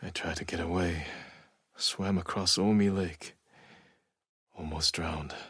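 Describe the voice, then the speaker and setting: An actor playing a stoic, honorable samurai warrior in a video game, with restrained, solemn, reflective delivery and a soft-edged husky voice. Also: male voice, low voice, steady tone, slow, dry recording, clean studio sound, heavily acted. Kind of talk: dramatic video game acting, reflective monologue.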